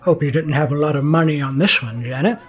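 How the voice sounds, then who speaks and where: A man's voice: a little high, quick pace, recorded from a distance, close to an everyday voice. An actor playing a brooding, boozy older man who narrates a noir radio drama with world-weary regret. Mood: wry.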